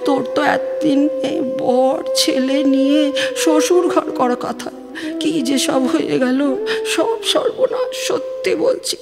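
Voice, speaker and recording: A woman's voice: sits high, big pitch swings, measured pace, big-room sound, exaggerated voice. A woman voicing a worried, complaining elderly village mother in a colloquial, lamenting audio drama style. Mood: tearful, despairing. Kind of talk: audio drama recitation of a phone dialogue. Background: music.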